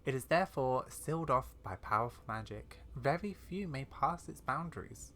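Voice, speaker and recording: An actor voicing a fantasy quest character who tells of secrets and magic in a measured, dramatic way. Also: medium pitch, expressive, fairly bright tone, slight background noise, close to an everyday voice. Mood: solemn, mysterious. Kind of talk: scripted video game dialogue. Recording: music behind the voice.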